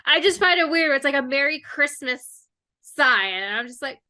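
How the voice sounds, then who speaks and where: Host: woman interviewer, slightly high, bright tone, loose rambling delivery on a video call. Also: expressive, moderate pace. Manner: chatty, rambling, with lots of fillers. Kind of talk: casual interview chat.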